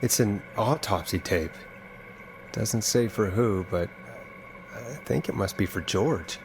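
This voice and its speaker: A lowish male voice over faint noise, a radio host who has turned amateur sleuth in a game. He sounds thoughtful and puzzled, working through things in a measured, conversational way. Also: low-quality recording. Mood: puzzled, investigative. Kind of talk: video game dialogue.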